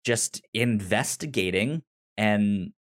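Halting, stammering male podcast host, unhurried. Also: on the low side. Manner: halting, stammering, repeats words. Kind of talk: casual review discussion.

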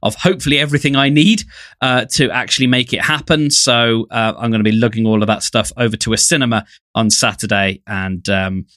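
A man's voice: mid-range voice, fairly quick, a bit bright, no room sound. English man chatting in a dry-sounding studio. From England. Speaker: podcast co-host. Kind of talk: casual podcast discussion.

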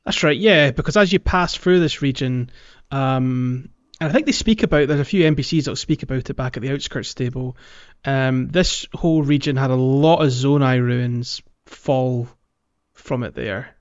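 A man talks through a video game as a podcast co-host, casual but thorough in his explanations. His voice sits at a middle pitch. The sound is very dry and a little dull, like a modest home microphone. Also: normal speed, clean tone, no echo. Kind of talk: casual gaming chat.

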